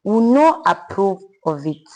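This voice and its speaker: A young woman from Nigeria, a polite podcast guest who says ma'am and has a naturally loud voice. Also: medium pitch, sing-song, measured pace, low-quality recording. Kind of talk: podcast interview.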